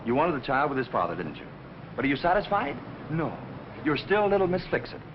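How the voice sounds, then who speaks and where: Brisk, reproving fiancé. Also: male voice, a little high, big pitch swings. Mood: exasperated. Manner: reproving, matter-of-fact. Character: exasperated fiancé, stern and sensible. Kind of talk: vintage Hollywood film acting. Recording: muffled old film soundtrack, clear noise.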